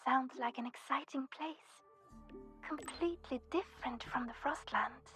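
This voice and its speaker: A woman's voice: high-pitched, a little slow, pushed and bright. An actress voicing a friendly, eager young female student in a video game, chatty, playful and sincere. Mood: friendly, curious. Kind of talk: scripted video game voice acting. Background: background music.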